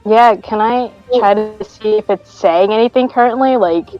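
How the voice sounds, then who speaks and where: A woman's voice: medium pitch, normal speed, dull audio, soft background music. A woman chatting casually as a player in a tabletop roleplaying game.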